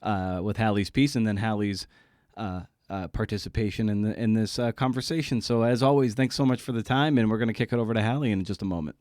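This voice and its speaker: A man's voice: lowish voice, quick pace, a little mellow, no room sound. A man hosting a podcast in a casual, conversational style with informal, colloquial phrasing. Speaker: podcast host.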